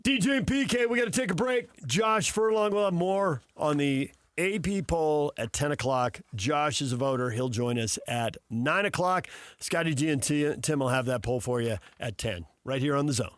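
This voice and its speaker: A man co-hosting a sports radio show, chatting casually in a playful, animated way from a studio. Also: a little high, moderate pace, no room sound. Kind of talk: casual sports talk banter.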